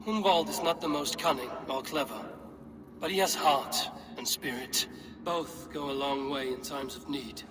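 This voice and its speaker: An actor playing a stern, steady Viking warrior in a game cutscene, speaking in a blunt, measured, weighty way. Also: male voice, medium pitch, natural voice. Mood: brooding, resolute. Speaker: video game lead character. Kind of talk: dramatic game cutscene acting.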